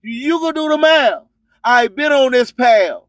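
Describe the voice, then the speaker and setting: A man's voice: very high-pitched, animated, measured pace, a bit bright, no room sound. A man hosting a radio show, delivering an emphatic, preachy motivational monologue full of streetwise slang and repeated rhyming slogans. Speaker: radio host.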